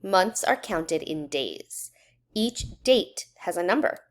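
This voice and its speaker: A woman reading a children's educational book aloud in a measured, instructional way, putting questions to young listeners. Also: lowish voice, animated. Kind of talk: children's read-aloud narration.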